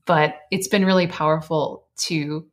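A woman author and life coach, earnest and reflective as a guest, her voice slightly hoarse. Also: sits low, steady tone, measured pace. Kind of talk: casual interview chat.

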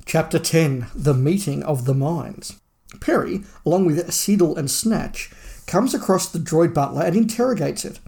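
Male co-host for podcast plot recaps. Somewhat high-pitched voice, reading from a script. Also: expressive, normal speed, creaky, darkish tone. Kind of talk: scripted plot summary narration.

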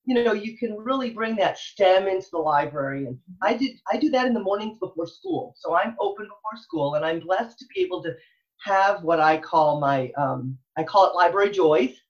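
A woman's voice: medium pitch, animated, normal speed, muffled recording. A middle-aged American woman talking enthusiastically in an interview, earnest and emphatic, often repeating phrases as she goes. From Texas, United States. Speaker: elementary school librarian, interview guest.

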